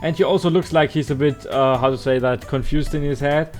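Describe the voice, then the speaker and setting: German man, fairly high voice, casual gaming host, halting with showy flourishes, faint music underneath. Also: fairly even intonation, a little mellow. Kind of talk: casual let's play commentary.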